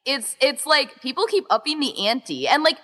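A woman talks in a casual interview with a bright, highly animated voice. Her delivery is informal and emphatic, peppered with "like" as she gushes. The recording carries a long reverberant tail. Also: normal speed. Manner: informal, gushing, emphatic, says "like" constantly. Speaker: podcast interview guest, event organizer.

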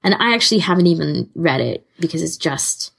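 A woman's voice: low voice, very animated, brisk, creaky, fairly bright tone, slightly rough. A woman co-hosting a podcast in casual conversation, rambling and often restarting her sentences. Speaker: podcast co-host.

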